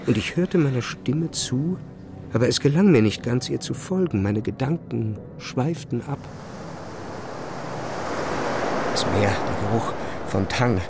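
An obsessive young male physicist in a literary audio drama. His mid-pitched voice is markedly creaky, inward and intense, halting as he tells his own story, with music clearly underneath. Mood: haunted, intense. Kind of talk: first-person narration in a literary audio drama.

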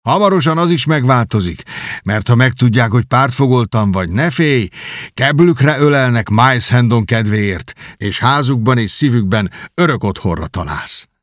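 Excited, talkative young knight voiced by a male reader; raised, lively delivery, dry close audiobook sound. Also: mid-range voice, no room sound, natural voice. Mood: eager. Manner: eager, exclamatory, talkative. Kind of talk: audiobook reading.

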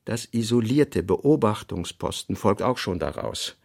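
A man reading scripted literary notes for a radio play, in measured, fragmentary phrases. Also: lowish voice, lively intonation, gravelly, a little mellow. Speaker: reader of a writer's working notes.

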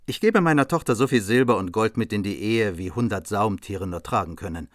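An actor playing a courteous, humble margrave in a German radio play, with measured and formal speech. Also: male voice, lowish voice, lively intonation, no echo, clean studio sound, close to an everyday voice. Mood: solemn. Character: courteous, humble margrave, proud husband and father. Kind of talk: German radio play acting of medieval epic.

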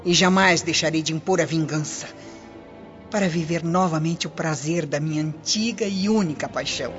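Scheming Roman noblewoman played by an actress, radio drama, insinuating, formal diction, muffled recording, loud music behind. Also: sits low, moderate pace, lightly acted. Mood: coldly vengeful. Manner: theatrical, insinuating. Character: scheming vengeful Roman noblewoman, falsely confiding prefect's wife.

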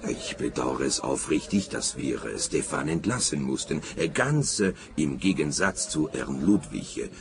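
An actor in a radio play playing a self-important, evasive bank director who talks in a halting, stuffy way. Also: male voice, very deep voice, animated, creaky, crowd noise, exaggerated voice. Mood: stuffy, regretful. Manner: halting, stuffy, full of drawn-out hesitations.

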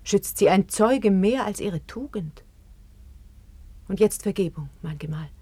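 A woman's voice: medium pitch, expressive, unhurried, no echo. An actress playing a noble, dignified young queen in a classical verse radio play of the 1950s, speaking solemnly and declaiming. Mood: wounded dignity. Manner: declamatory, hushed and breathy, solemn. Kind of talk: 1950s German stage-style radio acting of classical verse.

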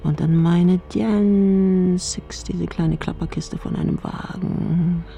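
A woman's voice: lowish voice, steady tone, creaky, warm tone, faint music behind. An older woman playing a frail, eccentric elderly mother in a radio play, nostalgic and imperious. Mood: dreamy, nostalgic. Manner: slurred, drowsy, halting recollection.

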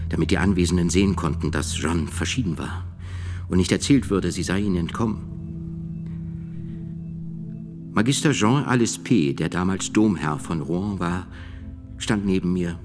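Ein Schauspieler spielt in einem Hörspiel einen mittelalterlichen Priester, der ernst und gemessen als Augenzeuge aussagt. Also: male voice, low-pitched, lightly acted. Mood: moved, urgent. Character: earnest medieval priest testifying about a burning he witnessed. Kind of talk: radio play testimony.

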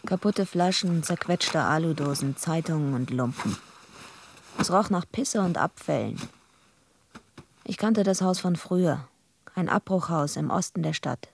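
An actress playing a tough, bitter, foul-mouthed female fighter who tells her own story bluntly in a radio drama, with a raspy, gravelly voice. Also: deep voice, a little slow, a little hiss or hum. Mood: gritty narrating. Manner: blunt, defiant, street-tough. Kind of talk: German radio drama, first-person narration.

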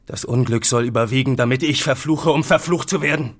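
An actor playing an embittered, suspicious farmer in a radio drama, speaking in a formal, declamatory, accusing way. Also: male voice, medium pitch, a little fast, close to an everyday voice. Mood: accusing, vengeful. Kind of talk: German literary radio drama acting.

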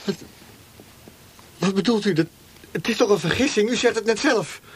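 A man's voice: sits high, moderate pace, breathy edge. An actor playing a frightened young male prisoner in a radio drama, stammering and pleading to be released. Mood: anxious pleading. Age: young adult.

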